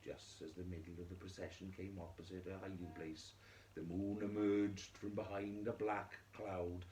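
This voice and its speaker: A man telling a children's fairy tale in a theatrical way, moving between hushed and emphatic delivery. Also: low-pitched, little pitch movement, moderate pace, roomy sound, a little hiss or hum. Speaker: storyteller.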